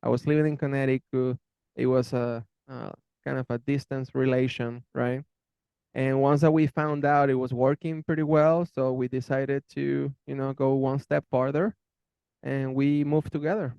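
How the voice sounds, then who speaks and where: A man's voice: medium pitch, steady tone, normal speed, vocal fry, dark tone, smooth, no room sound, highs cut off. A man in his thirties from Venezuela, speaking English with a Venezuelan Spanish accent, answering interview questions in a friendly way and often repeating words as he searches for phrasing. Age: 30s. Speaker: interviewee, channel host.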